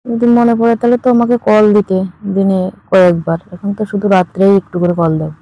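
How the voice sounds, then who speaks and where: A woman's voice: mid-range voice, steady tone, brisk, warm tone, a little hiss or hum, lightly acted, rough recording. A woman playing a teasing, suggestive lover who misses her faraway partner, chatting intimately as if on a late-night call. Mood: wistful, reproachful.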